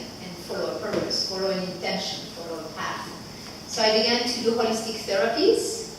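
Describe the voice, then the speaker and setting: Woman, 40s, French accent, chatty workshop talk, far from the mic, echoey room. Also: medium pitch. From Switzerland. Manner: chatty and loose, many "like" fillers. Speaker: workshop facilitator. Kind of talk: casual group talk. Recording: echoey room, distant mic, background noise.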